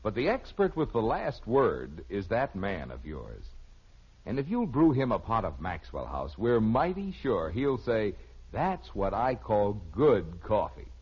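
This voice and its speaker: A man's voice: fairly low pitch, huge pitch swings, unhurried, slightly rough. A man with an American accent delivering a folksy, persuasive 1950s radio commercial as an announcer. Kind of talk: 1950s radio advertising pitch. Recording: dull old radio recording.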